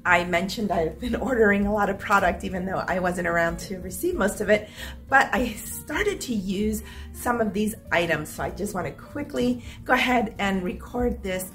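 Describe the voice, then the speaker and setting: A middle-aged woman filming a casual unboxing vlog at home, upbeat and chatty, her voice slightly hoarse as if she is losing it. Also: mid-range voice, animated, moderate pace, a bit bright, faint music behind. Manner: chatty and upbeat, clears her throat now and then. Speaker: vlogger. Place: small home room with stacked boxes, indoors.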